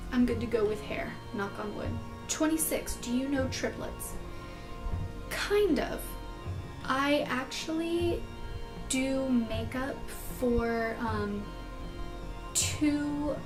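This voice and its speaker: A young American woman from California chatting casually through questions in a vlog, informal and self-deprecating, rambling as she answers. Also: on the high side. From California, United States. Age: young adult. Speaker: video blogger answering tag questions. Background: background music.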